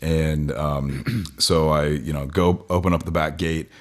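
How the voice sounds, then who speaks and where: A man co-hosting a podcast, telling stories in a casual, halting way. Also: very deep voice, steady tone. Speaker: podcast co-host. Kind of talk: casual banter and storytelling.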